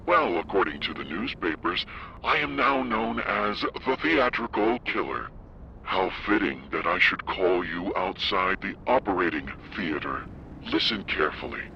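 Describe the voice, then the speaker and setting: A man voicing a taunting, cultured serial killer in a video game, with a mocking, deliberate, theatrical manner. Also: sits low, lively intonation, muffled recording, a little hiss or hum, full character voice. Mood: menacing. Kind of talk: video game villain acting. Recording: loud music behind the voice.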